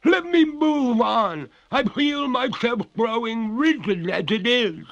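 A man's voice: very high-pitched, expressive, a bit bright, dull audio, voice pushed up, exaggerated voice. A man voicing a genteel female water ghost who speaks in formal, pleading, ornate phrases. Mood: desperate pleading. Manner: formal, ornate, drawn-out speech.

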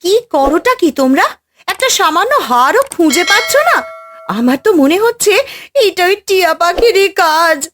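Woman playing a high-voiced haughty, scheming queen. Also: expressive, moderate pace, fairly bright tone. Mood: indignant, scolding. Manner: imperious, theatrical.

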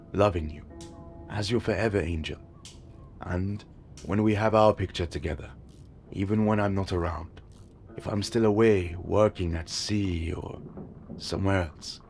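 An actor playing a gentle father who works at sea, soothing his young daughter in a soft, reassuring voice. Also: sits low, gravelly. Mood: tender. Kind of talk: audio drama acting.

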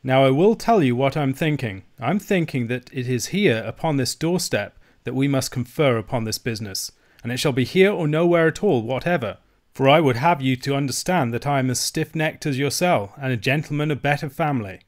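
Casting: male narrator as proud Highland gentleman, Scottish accent, assured, stubborn, drily polite storybook adventurer. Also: animated, moderate pace, lightly acted. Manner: bold, dryly courteous, assured.

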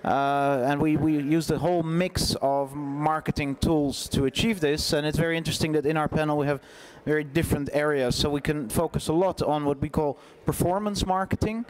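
A man's voice: fairly high pitch, fairly even intonation, fairly quick, hardly any pauses, a little hiss or hum. A man, informally moderating a panel on a conference stage. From Netherlands. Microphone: gooseneck microphone at seat.

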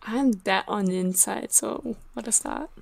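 A woman's voice: medium pitch, unhurried, vocal fry, darkish tone. A woman playing a stubborn, sulky, teasing zombie girl in an improvised roleplay game, with short, blunt replies.

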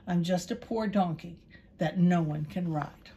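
A woman's voice: fairly low pitch, a little slow, dark tone, lo-fi sound, slight background noise, lightly acted. A woman voicing a small, timid male donkey who pleads in a frightened, self-pitying way in a storybook reading. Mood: pleading.

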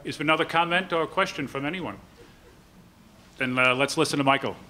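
Bright-voiced man moderating an event. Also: fairly high pitch, brisk. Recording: slightly noisy hall ambience.

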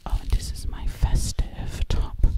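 Woman whispering as a slow, sly witch. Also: lightly acted. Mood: proud, coy. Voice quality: breathy whisper. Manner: slow, lingering, repeats words over and over. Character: sassy, vain, sinister witch who hunts people. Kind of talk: ASMR roleplay, close whispering. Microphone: close microphone.

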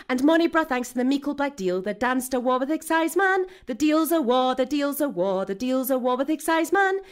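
A woman performing as a spirited folk singer with a Scottish accent, singing a traditional song in a radio drama. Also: high voice.

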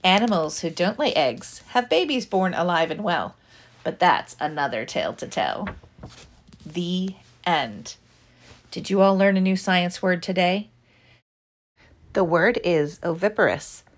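Animated, unhurried woman reading to children. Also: on the low side. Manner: deliberate, expressive phrasing aimed at young listeners. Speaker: teacher reading a picture book aloud. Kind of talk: children's picture book read-aloud.

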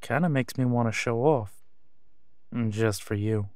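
Werewolf pack leader male actor, audio drama. Teasing, commanding but low-key, crisp close dry sound. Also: a little slow, a little mellow, dry recording, lightly acted. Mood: tender, teasing. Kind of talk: roleplay audio drama acting.

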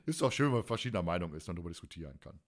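A man's voice: low-pitched, very animated, rapid-fire. Casual, teasing male podcast host. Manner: colloquial, teasing, opinionated. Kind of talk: casual fan podcast chat.

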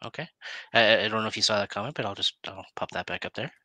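A man's voice: fairly low pitch, very fast, pushed and bright, no room sound. A middle-aged man hosting a casual live-stream interview in a chatty, hesitant way, speaking on a headset mic. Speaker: talk show host. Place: plain room, indoors.

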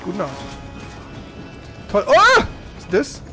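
A man's voice: high voice, huge pitch swings, measured pace, music in the background. A man doing casual gameplay commentary in colloquial, slangy language with some mild swearing. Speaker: let's play streamer.

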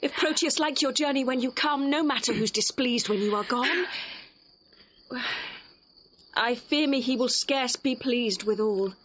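An actress playing a practical, teasing and sceptical young waiting-maid in a Shakespearean audio drama, with a dry delivery of the verse. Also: female voice, sits high, a little slow, long pauses, fairly bright tone, lo-fi sound, a little hiss or hum.

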